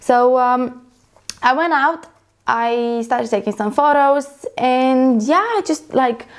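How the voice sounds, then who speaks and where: A woman's voice: sits high, slow, smooth. A young woman from Romania, a photographer vlogger, talking casually to camera and rambling with many fillers and self-corrections.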